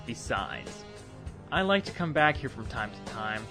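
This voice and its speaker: A man's voice: mid-range voice, animated, unhurried, bright, forceful tone, faint music behind. A man voicing a smug, condescending young nobleman who taunts, in an amateur radio play. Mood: taunting.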